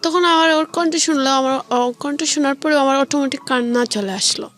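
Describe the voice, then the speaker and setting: Female interview guest: high-pitched, halting delivery, recounting personal experience. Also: fairly bright tone. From Sylhet region, Bangladesh. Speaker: guest telling own life story.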